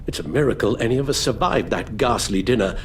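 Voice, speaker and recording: An actor playing a weary, sorrowful elderly English butler in a video game, with a British accent and a formal, measured, emotive delivery. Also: male voice, medium pitch, lively intonation, slight background noise, natural voice. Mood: grave, bitter. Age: older. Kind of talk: dramatic video game voice acting.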